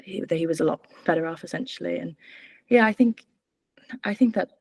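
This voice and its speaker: A woman's voice: on the low side, fairly quick, vocal fry, darkish tone, breathy edge, no echo. A woman in her twenties from Wales, giving candid, hesitant interview answers full of fillers and restarts. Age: 20s. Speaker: political candidate.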